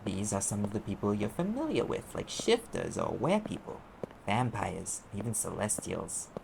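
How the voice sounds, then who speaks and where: A man's voice: on the low side, big pitch swings, moderate pace, gravelly, heavy background noise, heavily acted. A man playing a sarcastic, impatient private eye mage in an audio roleplay, explaining things in a conversational, exasperated way. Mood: wry, explaining. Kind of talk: audio roleplay acting, one side of a dialogue.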